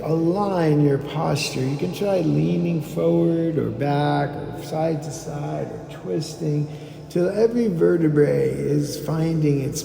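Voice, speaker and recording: A man guides a meditation in a mellow voice full of heavy creak. He speaks calmly and very slowly, leaving pauses between instructions. The recording rings with the long reverb of an echoey hall, with some background noise. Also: a little high. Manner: calm, deliberate instruction with pauses.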